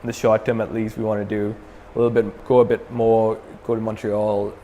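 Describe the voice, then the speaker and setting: Young guy from sri lanka, low voice, rambly and laid back, reverby room with faint hum. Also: fairly quick, muffled recording. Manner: relaxed, many fillers and restarts. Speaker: metal band frontman, interview guest. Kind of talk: casual interview.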